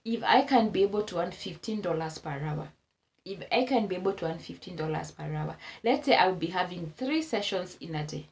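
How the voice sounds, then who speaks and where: A woman's voice: lowish voice, expressive, fairly quick, a little hiss or hum. A Kenyan woman vlogging casual advice to camera, repeating her points for emphasis and exclaiming as she goes.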